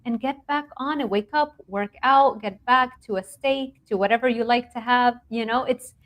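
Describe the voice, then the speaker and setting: Woman from lebanon, thirties, animated casual talk, laughs often, restarts sentences, video-call interview. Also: on the high side, moderate pace. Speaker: guest nutrition researcher.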